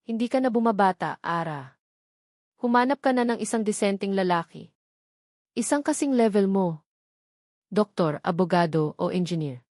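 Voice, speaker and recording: A woman's voice: medium pitch, expressive, normal speed, long pauses, a little mellow, dry recording, studio quality, natural voice. A woman voicing a worried, admonishing older mother in a story. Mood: worried, nagging. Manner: fretful, admonishing. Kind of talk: storybook character dialogue.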